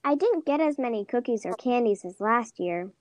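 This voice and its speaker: A woman's voice: fairly high pitch, expressive, normal speed, a little mellow, dry recording, close to an everyday voice. A woman playing a plaintive young schoolgirl in an amateur audio play. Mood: chatty. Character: Susan, plaintive treat-loving little schoolgirl.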